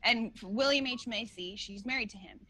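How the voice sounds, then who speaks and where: A woman's voice: a little high, normal speed, sharp tone, no echo, poor audio. A woman guest on a podcast, telling stories in loose, rambling talk full of fillers and restarts. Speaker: guest, cosplay face model. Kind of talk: casual podcast chat.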